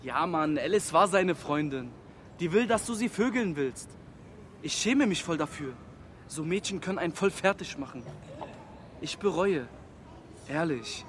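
A man's voice: fairly high pitch, lively intonation, a little slow, long pauses, slight background noise. An actor playing an earnest, macho young man in a radio drama, speaking in youth slang. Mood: earnest, emphatic. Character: earnest, macho young male streetball player. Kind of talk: slangy interview-style radio drama monologue.

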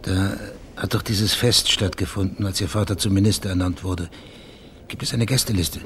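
Male private investigator, radio crime play: low register, plain-spoken, probing, background ambience audible. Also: fairly even intonation, moderate pace, fairly bright tone, slight background noise. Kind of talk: German radio crime drama acting.

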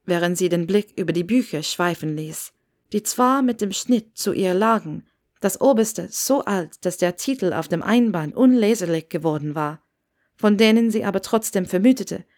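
A woman's voice: fairly low pitch, animated, moderate pace, darkish tone. A woman reading a scripted story aloud as its narrator. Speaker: narrator reading fan fiction.

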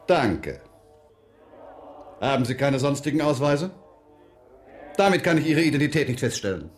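An actor playing an unyielding official in a radio drama, formal and unmoved. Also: male voice, medium pitch, very animated, normal speed, slight background noise, lightly acted. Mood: cool, dismissive.

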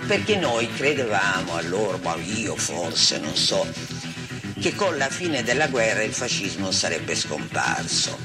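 A man's voice: on the low side, sing-song, unhurried, loud music behind the voice. An older man recollecting in spoken testimony, hesitant and questioning himself.

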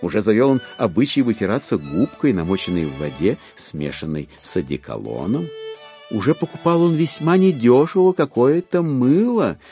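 A man giving an expressive, wryly ironic theatrical narration of a classic novel. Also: medium pitch, moderate pace, soft background music. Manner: expressive, storytelling with wry irony.

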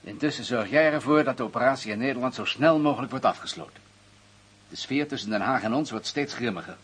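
An actor playing a guarded, bureaucratic intelligence official in a radio drama, speaking formally with a husky voice. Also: male voice, mid-range voice, quick pace, a bit bright. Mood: businesslike, guarded. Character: guarded, bureaucratic male senior intelligence official, covering himself. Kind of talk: Dutch radio drama acting.

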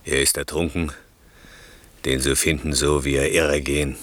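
Very deep, weary king. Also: little pitch movement, fairly bright tone, no room sound. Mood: weary, resigned. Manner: solemn, measured. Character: weary, resigned, grieving nobleman king. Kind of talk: classical German radio play acting.

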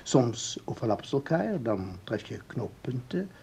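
An actor playing a calm researcher in a Dutch radio play, explaining formally and deliberately with small hesitations. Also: male voice, medium pitch, a little hiss or hum. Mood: lecturing. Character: calm, explaining male researcher of time anomalies.

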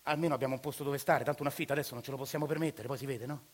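An actor playing a humbled father speaking softly and tenderly to his wife. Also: mid-range voice, fairly even intonation, racing, voice pushed down, close to an everyday voice. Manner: soft, reflective, tender.

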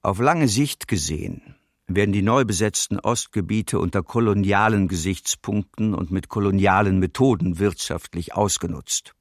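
An actor playing a cold Nazi war bureaucrat, reading official policy formally in a documentary radio play. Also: male voice, fairly low pitch, normal speed, no room sound, clean studio sound, close to an everyday voice.

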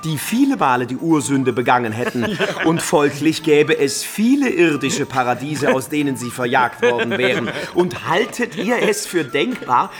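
An actor playing an eccentric, obsessive medieval wandering scholar in a German radio play, pedantic, theatrical and emphatic. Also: male voice, on the high side, big pitch swings, moderate pace, hardly any pauses. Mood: agitated, amused. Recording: studio radio drama over music.

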